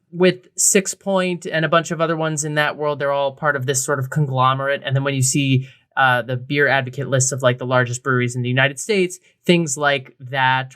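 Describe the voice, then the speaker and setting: A man's voice: mid-range voice, fairly bright tone. A man co-hosting a podcast in a casual, informal, conversational way. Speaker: podcast co-host.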